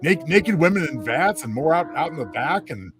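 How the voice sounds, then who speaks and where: A man's voice: a little high, big pitch swings, normal speed, over music. A man chatting casually and jokingly as a panelist on a show.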